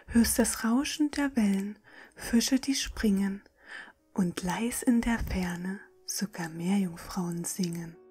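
Female bedtime narrator: slow, dark-soft whisper, gentle and soothing, ASMR-style verse reading. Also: mid-range voice. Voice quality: breathy, whispery. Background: music.

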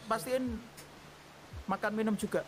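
Man, very high voice, bossy, teasing, game roleplay, faint ambience behind. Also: fairly even intonation, measured pace, a little hiss or hum, poor audio. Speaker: roleplay gang boss player. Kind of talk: in-character roleplay chat.

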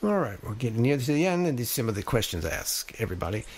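A man's voice: mid-range voice, big pitch swings, fast talker, breathy edge. A man hosting a casual interview, putting conversational questions to his guest. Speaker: podcast interviewer.

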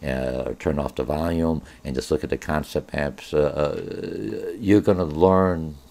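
A man's voice: extremely low pitch, unhurried, vocal fry, darkish tone. A middle-aged man, a college business instructor whose first language is Polish, giving an informal online course orientation with many filler sounds. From born in Germany.

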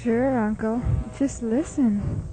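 A sweet young niece in love, acted by a woman in a radio comedy. Her fairly high voice lingers slowly and rises and falls freely over music. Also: steady tone, low-quality recording. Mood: pleading, lovestruck. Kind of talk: radio play comedy acting.

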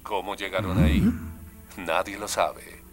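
A man's voice: deep voice, lively intonation, measured pace, over music. A man voicing a solemn documentary storyteller, giving dramatic narration in a cartoon. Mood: solemn, mysterious.

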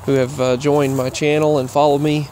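A man giving a casual, rambling update while walking outdoors, halting and often repeating himself. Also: a little high, flat delivery, darkish tone. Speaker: vlogger. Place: outdoors, walking in a grassy yard near roads. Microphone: earbuds microphone with a phone. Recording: clear outdoor traffic noise. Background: passing traffic.

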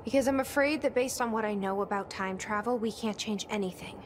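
An actress playing a grave, determined woman who believes in fate, speaking earnestly and deliberately in a cinematic video game. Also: medium pitch, noisy background, lightly acted. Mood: grave, urgent.

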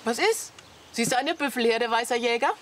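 A woman's voice: fairly high pitch, a little fast, a little hiss or hum, natural voice. An actress playing a dry, mocking woman camper in a comedy radio play. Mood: wry. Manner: wry, mocking.

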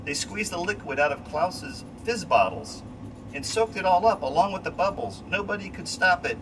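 A middle-aged man reading a rhyming children's storybook aloud in an expressive way, with the odd stumble. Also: sits high, normal speed. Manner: expressive read-aloud delivery with stumbles and rereads. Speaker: story reader. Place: small cramped space station window module. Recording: distant mic, loud steady ventilation hum. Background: loud steady air-conditioning-like hum.

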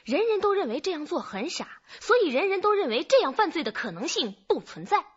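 A woman's voice: extremely high pitch, big pitch swings, fairly quick, dull audio. An actress playing a confident female police detective who likes to speculate, in a radio drama.

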